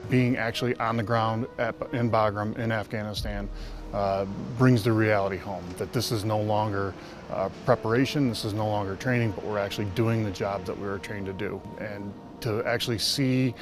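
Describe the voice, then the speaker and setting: A man's voice: on the low side, fairly even intonation, normal speed, over music. A man in a military role explaining his work emphatically in a documentary interview. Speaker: air force communications airman.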